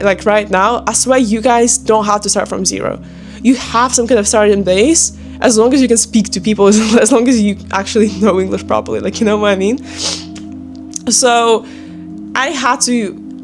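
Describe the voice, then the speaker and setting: A young woman with a Ukrainian accent chatting casually and motivationally to viewers, in an informal, emphatic, encouraging manner. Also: medium pitch. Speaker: online video creator and sales closer. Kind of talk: casual motivational chat to camera.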